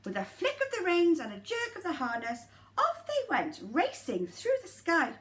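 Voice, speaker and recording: A woman's voice: very high-pitched, moderate pace. A woman reading a children's story expressively and dramatically, with asides straight to the young listeners. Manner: animated, dramatic, addresses children directly. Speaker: nursery teacher reading a story.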